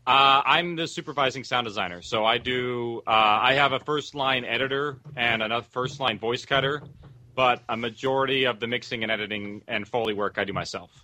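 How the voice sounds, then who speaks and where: A man's voice: mid-range voice, a little fast, sharp tone. A man giving an explanatory answer as a producer and sound designer in a panel discussion.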